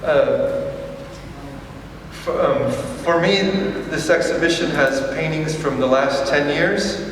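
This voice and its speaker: A man's voice: fairly high pitch, takes it slowly, fairly bright tone, a little hiss or hum. Hesitant man speaking in a big echoey hall. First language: English. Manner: hesitant, many ums and restarts. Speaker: exhibiting artist. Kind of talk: press conference remarks. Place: large echoey museum hall. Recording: echoey hall, distant mic.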